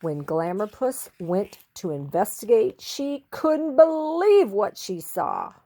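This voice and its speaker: A woman reading a children's story expressively, with theatrical, drawn-out emphasis on key words. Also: mid-range voice, very animated, mellow.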